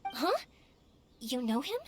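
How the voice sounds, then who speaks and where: A woman's voice: high-pitched, wildly swooping pitch, slow pace, exaggerated voice. A woman playing a caring, earnest, youthful young fireworks maker in a video game, with a friendly way of talking. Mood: hesitant. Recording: prominent music behind the voice.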